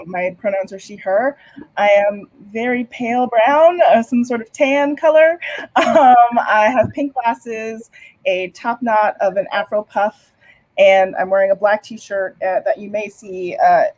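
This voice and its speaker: A woman's voice: mid-range voice, measured pace, a little mellow, no room sound. A woman, an editor, introducing herself on a video-call panel in a chatty, giggly way. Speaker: managing editor. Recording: dry, dull video-call audio.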